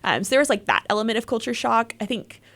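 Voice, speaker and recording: A woman's voice: on the high side, quick pace, vocal fry, fairly bright tone. A young woman from Texas, a guest chatting casually in an interview, friendly and agreeable, with lots of "like" and "um". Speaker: guest, leadership program coordinator.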